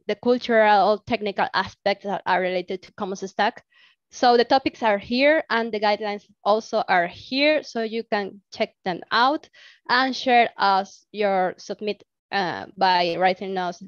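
A woman's voice: medium pitch, a little slow, dry recording. A woman in her thirties giving enthusiastic updates on a video call, with many fillers. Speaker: team member presenting updates. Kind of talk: casual meeting update. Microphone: laptop mic on video call. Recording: dull lo-fi call audio.

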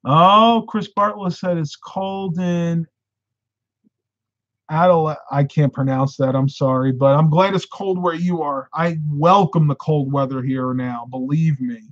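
A man in his thirties hosts a casual live stream from a room at home, giving advice with lively hand gestures. His voice sits a touch high and is starting to turn hoarse. The sound is slightly dull and lo-fi, as if recorded through a desk webcam. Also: measured pace. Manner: animated, gestures with hands while talking. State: getting hoarse.

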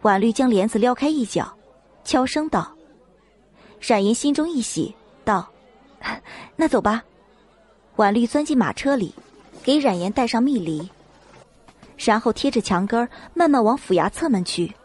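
A woman reading a dramatic novel as an audiobook narrator, in measured storytelling style. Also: on the high side, lively intonation, a little mellow, husky.

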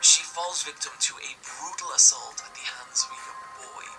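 A man narrating a scripted video essay in a steady explanatory way, played back from a video. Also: medium pitch, moderate pace, over music, low-quality recording. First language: English.

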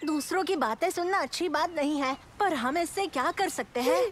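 A woman voicing a bold, clever young boy inventor who leads his friends in an animated comedy. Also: high-pitched, normal speed, a little hiss or hum, natural voice. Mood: determined.

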